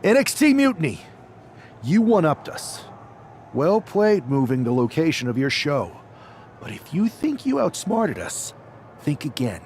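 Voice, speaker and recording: A man's voice: on the high side, huge pitch swings, a little slow, a little mellow, a little hiss or hum, exaggerated voice. A man playing a determined wrestler in a video game cutscene, speaking in an emphatic, resolute way. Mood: defiant. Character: determined male wrestler leading a fightback. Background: music.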